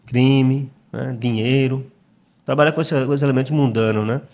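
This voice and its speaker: A middle-aged man from Recife with a Pernambuco Brazilian accent, speaking as a guest writer in a relaxed interview and often stopping to repeat words as he thinks. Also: medium pitch, moderate pace. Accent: Pernambuco Brazilian Portuguese. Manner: reflective, frequent hesitations and repeated words. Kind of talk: conversational interview.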